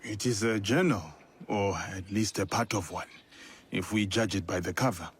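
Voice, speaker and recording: An actor playing a solemn, thoughtful warrior in a feudal Japanese video game, speaking in a measured, careful way. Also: male voice, on the low side, slightly rough. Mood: careful, hesitant. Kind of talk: dramatic video game acting.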